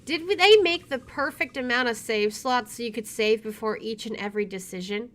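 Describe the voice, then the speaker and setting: A woman's voice: on the high side, brisk, a bit bright. A woman streaming a visual novel, reading its text aloud and dropping in casual, wry asides. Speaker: video game streamer.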